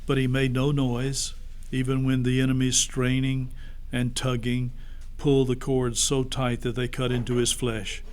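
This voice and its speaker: A man's voice: medium pitch, completely flat delivery, a little slow, slight background noise. A man narrating a story expressively, as a pastor reading aloud to children.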